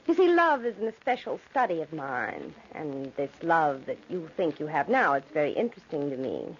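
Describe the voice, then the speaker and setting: Ancient Egyptian enchantress: seductive, menacing, theatrical, wide melodic swings, muffled vintage radio sound. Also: female voice, low-pitched, very animated. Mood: sly, intrusive. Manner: theatrical, haughty, deliberate phrasing. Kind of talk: old-time radio drama acting. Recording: muffled, noisy old radio recording.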